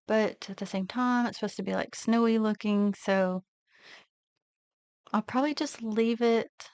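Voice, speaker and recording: Relaxed woman thinking aloud, dry sound. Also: mid-range voice, unhurried, smooth, no echo. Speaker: nail art vlogger. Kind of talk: casual step-by-step narration while working.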